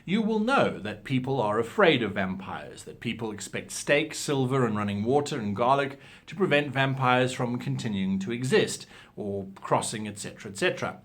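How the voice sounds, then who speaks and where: Expressive man giving instructional talk. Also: mid-range voice, moderate pace, hardly any pauses. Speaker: host of a role-playing advice show.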